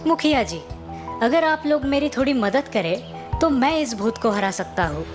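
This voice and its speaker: A woman voicing a clever young village man in a cartoon, a calm trickster who talks politely and persuasively. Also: sits high, moderate pace, over music. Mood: confident. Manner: polite, persuasive, mock-respectful. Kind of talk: Hindi cartoon story acting.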